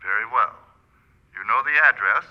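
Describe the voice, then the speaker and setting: An actor playing a businesslike criminal conspirator in a radio drama, matter-of-fact over a telephone. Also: male voice, mid-range voice, moderate pace. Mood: businesslike, conspiratorial. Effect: telephone filter. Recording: dull, band-limited telephone line.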